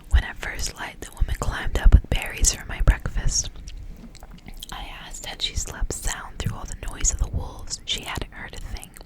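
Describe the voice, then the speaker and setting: ASMR reader: woman, whispered throughout, narrating a fairy tale in a hushed voice. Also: normal speed, rough recording. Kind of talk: whispered ASMR storybook reading.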